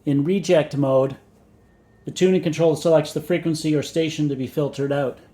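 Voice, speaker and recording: Male hobbyist presenter, voice a little on the high side. Measured, methodical reading of explanatory script, plain and matter-of-fact. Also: fairly even intonation, warm tone. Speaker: vintage radio hobbyist and presenter.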